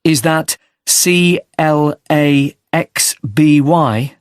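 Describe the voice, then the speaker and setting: A man's voice: a little high, extremely slow, no room sound, studio quality. Polite male survey interviewer, acted by a man. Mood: attentive. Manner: polite, clear questioning. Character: polite, sympathetic street interviewer doing a transport survey. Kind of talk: scripted everyday dialogue for a listening test.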